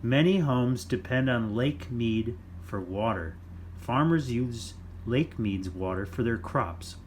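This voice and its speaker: Slow-paced man reading to children. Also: mid-range voice, expressive, slight background noise. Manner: simple, deliberate phrasing for young listeners. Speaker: children's storytime reader. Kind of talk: read-aloud of a children's nonfiction book.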